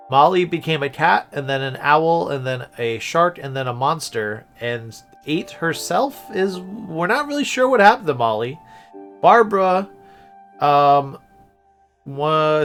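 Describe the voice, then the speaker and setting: A man doing casual livestream game commentary, musing aloud haltingly and breaking into exclamations. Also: medium pitch, a bit bright. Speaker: game streamer.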